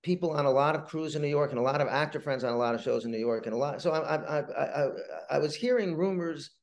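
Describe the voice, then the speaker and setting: An older man talking casually as an interview guest, wry and halting, often repeating words as he starts a phrase. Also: on the high side. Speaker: writer and showrunner, interview guest.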